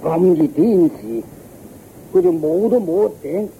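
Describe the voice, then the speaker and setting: A man's voice: sits high, lively intonation, unhurried, mellow. Casting: weary old peasant father, Hong Kong Cantonese, grumbling and colloquial, very dull tone, light hiss, radio drama. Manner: complaining, grumpy, colloquial. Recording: muffled radio recording, faint noise.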